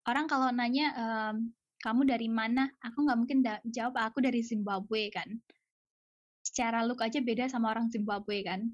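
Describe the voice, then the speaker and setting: A woman's voice: fairly high pitch, steady tone, normal speed, dry recording, muffled recording. A woman chatting casually and emphatically as an interview guest, outspoken, with rhetorical questions and the odd English phrase. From Indonesia. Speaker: podcast guest, researcher living in Japan. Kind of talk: casual, opinionated interview chat.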